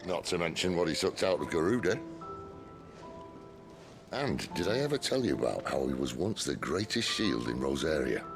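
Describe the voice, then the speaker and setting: A very deep-voiced, wry rebel leader in a fantasy game. His delivery is gravelly and unhurried, swinging freely through his range with dry, teasing confidence. Music plays prominently underneath. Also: big pitch swings, heavily acted. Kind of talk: dramatic video game acting.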